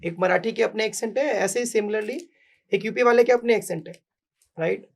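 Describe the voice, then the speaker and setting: A man's voice: high-pitched, a little fast, vocal fry. A young adult man from Bihar with a Bihari accent, an English coach in a podcast interview, mixing Hindi and English and often asking "right?". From Bihar, India. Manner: mixes Hindi and English, emphatic, repeats "right?".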